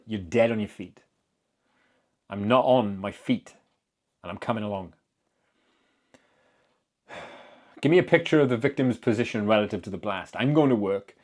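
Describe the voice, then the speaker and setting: A man's voice: fairly low pitch, moderate pace, pauses often, darkish tone, close to an everyday voice. Man playing a firm, methodical doctor. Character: firm, caring male doctor, steady and methodical. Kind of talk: dramatic audiobook character reading.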